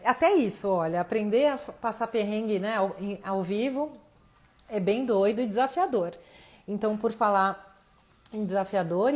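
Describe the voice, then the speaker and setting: A woman's voice: medium pitch, darkish tone. A woman with a Brazilian accent teaching informally as a mentor in an online live class, speaking directly to her audience and thinking aloud, with a slightly tired voice. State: voice tiring, losing it slightly. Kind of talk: informal motivational teaching to camera.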